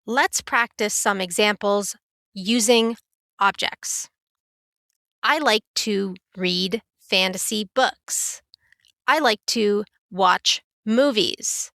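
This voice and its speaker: A woman teaching a beginner English lesson in a careful, clear, scripted way. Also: mid-range voice, slow pace, sharp tone, dry recording, clean studio sound. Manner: clear, careful, instructive delivery.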